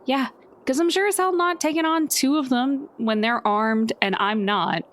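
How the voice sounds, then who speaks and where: A woman playing a tabletop game, talking casually with a wry, self-deprecating, animated manner. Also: high-pitched, normal speed.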